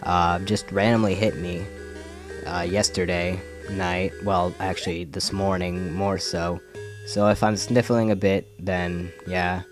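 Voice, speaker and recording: Low-voiced young man rambling over gameplay. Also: little pitch movement, a little slow. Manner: rambling, self-correcting, wry. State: has a head cold. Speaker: let's play commentator. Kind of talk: casual gameplay commentary. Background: faint game music.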